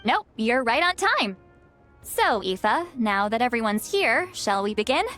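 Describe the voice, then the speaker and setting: A woman voicing a gentle, thoughtful, well-read young woman in an anime-style video game, speaking sincerely. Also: fairly high pitch, sing-song, measured pace, soft background music. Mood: calm, welcoming.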